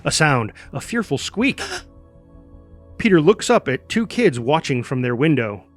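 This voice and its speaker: A man with a fairly high voice reads action-script stage directions. His delivery is clipped, punchy and dramatic, over light background music. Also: very animated, a little slow. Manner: punchy, clipped delivery with exclaimed sound words. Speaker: stage-direction narrator at a table read. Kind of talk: dramatic scripted narration in present tense.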